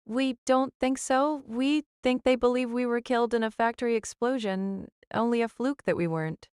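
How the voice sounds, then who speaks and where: Woman as weary fugitive woman, earnest, audio drama acting, raised pitch, dry close recording. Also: moderate pace, darkish tone, smooth, no echo, lightly acted. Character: weary, earnest runaway woman fleeing an oppressive regime.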